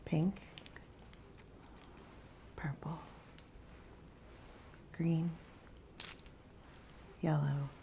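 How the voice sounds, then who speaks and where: A woman playing the patient in an ASMR role-play, giving quiet, short, matter-of-fact answers. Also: low voice, level tone, drawn-out words, gravelly, pauses often, lo-fi sound, slight background noise.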